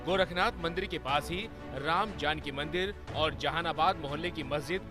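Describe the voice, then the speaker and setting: A man's voice: a little high, moderate pace. A man reading a scripted news voice-over as the narrator of a television report. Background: prominent music bed.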